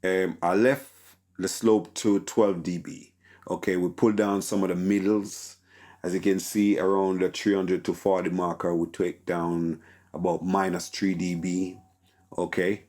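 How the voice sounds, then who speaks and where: A man with a deep voice teaching a casual tutorial on mixing vocals, with a relaxed, filler-heavy delivery full of "okay?". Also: measured pace. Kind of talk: casual screen-recorded tutorial.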